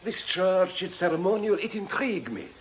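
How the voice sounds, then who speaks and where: A man's voice: high voice, sing-song, moderate pace, a little hiss or hum. An actor playing an ardent, courtly Frenchman with a French accent and a formal, emphatic way of speaking, in a radio drama. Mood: explaining. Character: ardent, courtly Frenchman of modest means, a bereaved admirer.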